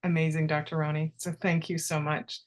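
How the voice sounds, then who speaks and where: A woman hosting an online talk show, enthusiastic and quick to thank her guests. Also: low voice, level tone.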